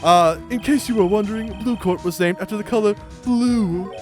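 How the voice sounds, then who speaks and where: Man putting on a high-pitched, pompous CEO villain. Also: moderate pace, faint music behind, natural voice. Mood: grandiose, self-satisfied. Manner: pompous, theatrical, flowery invented words. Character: smug, pompous, entitled male corporate CEO villain. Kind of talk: video game character voice-over.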